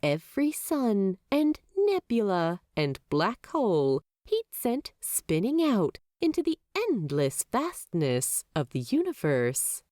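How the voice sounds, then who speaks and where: A woman's voice: slow pace, few pauses, no room sound, clean studio sound. A woman reading fan fiction aloud as a podfic narrator, telling the story expressively. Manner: expressive storytelling with wide swings of pitch.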